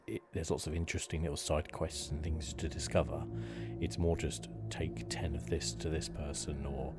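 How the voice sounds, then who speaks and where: A soft-spoken man giving hesitant, rambling ASMR commentary as host of a video game playthrough. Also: extremely low pitch.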